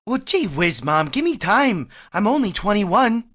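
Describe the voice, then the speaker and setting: A woman's voice: medium pitch, big pitch swings, a little slow, fairly bright tone. A woman playing a timid, tongue-tied young man in a vintage-style radio play. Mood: bashful. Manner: stammering, timid.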